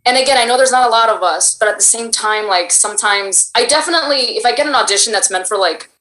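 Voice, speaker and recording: A woman's voice: fairly high pitch, fast, bright, forceful tone. A woman with a Cuban Miami accent, a voice actress chatting animatedly in an interview and laughing often. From Miami, United States. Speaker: voice actress and creator. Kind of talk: casual interview chat. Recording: roomy echo.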